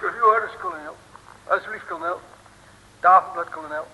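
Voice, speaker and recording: Shrill, dutiful military orderly. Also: male voice, fairly quick, pushed and bright, breathy edge, heavily acted. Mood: obedient. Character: dutiful, servile male military orderly reading aloud. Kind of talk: old radio serial acting. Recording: old radio recording, long reverb.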